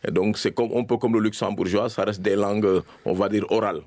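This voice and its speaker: A man explains things methodically, listing one item after another. His voice sits low and has a gravelly fry. The sound is dry and close, like a studio mic. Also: fairly even intonation, a little fast, a little mellow, no echo. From Senegal. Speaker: guest. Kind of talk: explanatory radio interview answers.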